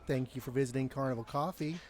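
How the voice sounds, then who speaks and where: A man playing an earnest, easily flustered young barista in an audio drama, with a halting, conscientious delivery. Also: medium pitch, steady tone, over a noisy crowd, close to an everyday voice. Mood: polite, awkward. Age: young adult.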